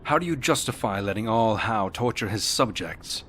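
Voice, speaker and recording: Man playing blunt warrior hero, loud music. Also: lowish voice, lively intonation, moderate pace. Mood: accusing. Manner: blunt, confrontational. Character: challenging, principled male warrior hero. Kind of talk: fantasy game protagonist dialogue.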